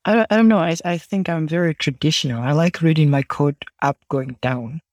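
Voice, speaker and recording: A man from Tanzania, a podcast guest chatting casually and haltingly. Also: on the high side, a little mellow, no room sound. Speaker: podcast guest, data scientist. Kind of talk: casual chat.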